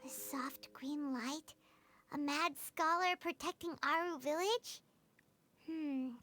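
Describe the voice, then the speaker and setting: A woman voicing an excitable, childlike fairy companion in an animated video game style, squeaky and exclamatory. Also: slow, no room sound, heavily acted. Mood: curious, pondering. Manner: exclamatory, refers to self in third person. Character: excitable childlike floating fairy companion, speaks in third person.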